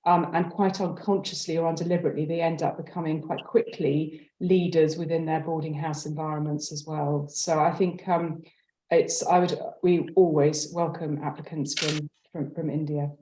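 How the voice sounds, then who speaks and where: A woman who is a school head answers in a measured, considered way on a webinar panel, in roomy, echoing audio. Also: low-pitched, little pitch movement, dark tone. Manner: measured, considered, hedging phrasing.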